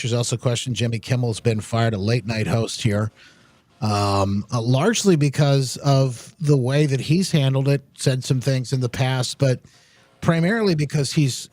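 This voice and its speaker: An American man hosts a political talk show in a conversational style. His voice is of average pitch, and he lays out questions in halting, deliberate fragments. The recording is dry and free of room echo. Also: darkish tone. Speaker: interview host.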